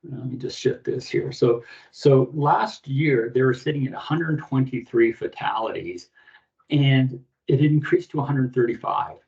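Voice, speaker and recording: A man's voice: medium pitch, a little slow, creaky, a little mellow, highs cut off. A Canadian man hosting a podcast over a video call, earnest and full of restarts as he walks through statistics. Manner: earnest, restarts often, many fillers. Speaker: podcast host.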